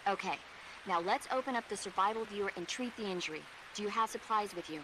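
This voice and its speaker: A calm American female medic on radio support in a game, played by a woman. She is caring, reassuring and instructive, with a mid-pitched voice and clear hiss behind it. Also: fast talker, natural voice. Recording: hissy radio-call audio.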